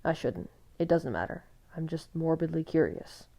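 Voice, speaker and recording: A woman voicing a hotheaded young werewolf man who is blunt, mocking and quick to rage in a storybook reading. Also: deep voice, big pitch swings, vocal fry, dark tone, heavily acted. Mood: hesitant. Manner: blunt, mocking, then furious.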